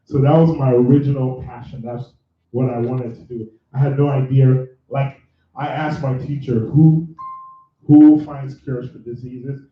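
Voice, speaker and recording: A man's voice: medium pitch, fairly even intonation, unhurried. A man from Nigeria hosting a live career workshop, chatty and self-correcting as he tells his story to the room. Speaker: career bootcamp host and mentor. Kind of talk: live workshop talk with audience. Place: large room with live audience. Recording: echoey room, far from the mic, dull sound.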